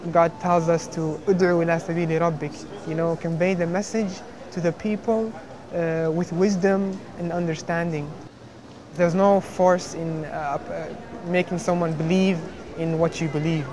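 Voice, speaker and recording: A young man from Somalia, a student, speaking earnestly in an interview with many pauses and restarts. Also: a little high, fairly even intonation, crowd noise. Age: young adult. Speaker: engineering student. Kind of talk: interview testimony.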